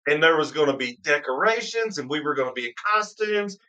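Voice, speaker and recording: A man's voice: fairly high pitch, animated, brisk, pushed and bright. A man hosting a bourbon tasting live stream, chatting eagerly and rambling through his stories. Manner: enthusiastic, rambling storyteller. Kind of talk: casual live-stream banter.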